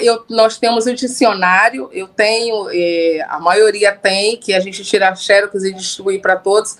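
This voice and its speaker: Woman community leader, earnest and halting, average pitch, radio interview. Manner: earnest, halting, many restarts.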